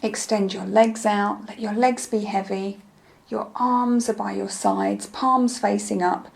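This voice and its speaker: Soothing lady doing guided meditation, soft mellow tone, bit crackly, slow gentle instructions. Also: mid-range voice. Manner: soothing, gentle, unhurried instruction with soft breathy phrase endings.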